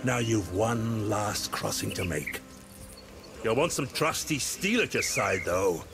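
Hearty old pirate ghost, animated. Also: male voice, fairly low pitch, takes it slowly, gravelly, background noise, heavily acted. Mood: warm, encouraging. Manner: theatrical, storytelling. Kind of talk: scripted video game character acting.

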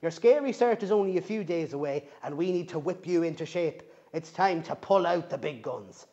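A man voicing a bossy, scolding old banshee grandmother, raised and loud, on a dull lo-fi recording. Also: on the high side, expressive, moderate pace.

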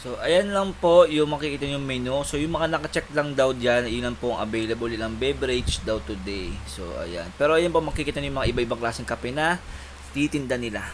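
A man's voice: medium pitch, fairly quick. A young man giving a polite, chatty walkthrough of a coffee shop, filmed on a handheld phone. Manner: chatty, polite tour-guide narration. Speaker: vlogger. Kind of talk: casual walkthrough vlog. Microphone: handheld phone camera. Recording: noisy cafe with air conditioning.

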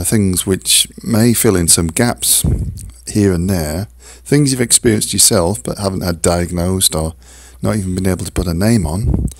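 Croaky, deep-voiced male podcast host, hesitant and full of fillers, close dry sound. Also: no room sound. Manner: halting, many fillers and restarts. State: hoarse, croaky from a cold. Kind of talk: casual solo podcast talk.

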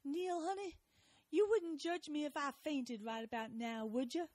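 A woman's voice: sits high, unhurried, darkish tone, no room sound. A woman acting in an audio drama as a feisty, sweet-talking older wife with an emphatic, folksy manner. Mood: faint, sweet.

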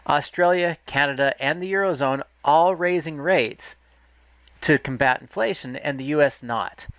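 A man's voice: on the high side, a bit bright, dry recording. A man walking through a market analysis lesson over a screen recording, explaining step by step with restarts and self-corrections. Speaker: market educator.

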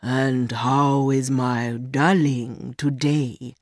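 Woman voicing a low-pitched, patronising older man. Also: steady tone, no room sound, studio quality, heavily acted. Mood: smooth, probing. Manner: slow, condescending, fatherly. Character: older rich man, smooth, patronising secret lover. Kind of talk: acted dialogue in audiobook story.

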